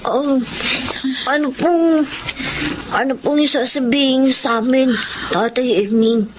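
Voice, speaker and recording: A woman's voice: high voice, slow, background noise. An actress voicing a sweet, polite little Filipino boy in a radio drama. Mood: pleading.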